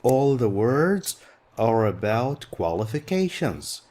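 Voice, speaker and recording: A man's voice: mid-range voice, big pitch swings, takes it slowly, darkish tone. A middle-aged man whose first language is Arabic, teaching an English textbook lesson patiently and repeating key words.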